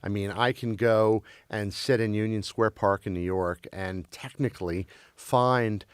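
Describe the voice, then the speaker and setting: A man who grew up in Belgium talks as an interview guest in a loose, conversational way. He stumbles and restarts often, circling back over his words in a lowish voice. The recording is dry, with almost no room sound. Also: fairly even intonation, measured pace, clear, resonant tone, no echo. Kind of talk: casual conversation.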